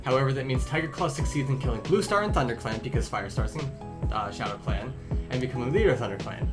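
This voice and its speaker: A blunt, teasing young male cat healer voiced by a man hosts a casual fandub Q&A. The mid-pitched voice swings about in a lively way. Music is clearly audible underneath. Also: quick pace, natural voice. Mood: curious. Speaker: question-reading host. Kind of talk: improvised in-character Q&A banter. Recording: music playing behind the voice.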